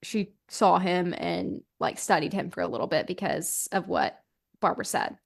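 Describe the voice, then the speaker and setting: A woman's voice: fairly low pitch, normal speed, creaky. A woman hosting a true-crime podcast, telling the story in a casual, conversational way peppered with "like". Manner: informal, hedging, filler-heavy with "like".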